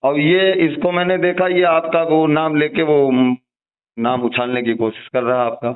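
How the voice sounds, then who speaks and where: Tinny phone-line man, higher-pitched and halting. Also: fairly even intonation. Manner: hesitant, halting delivery with repeated false starts. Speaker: caller. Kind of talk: deferential phone conversation. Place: phone call. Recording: tinny narrowband phone call recording.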